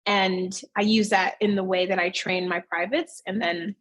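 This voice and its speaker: A woman's voice: mid-range voice, level tone, normal speed, a bit bright. A woman in her thirties, a fitness studio owner, chatting casually as an interview guest and acting out voices when she quotes people. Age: 30s.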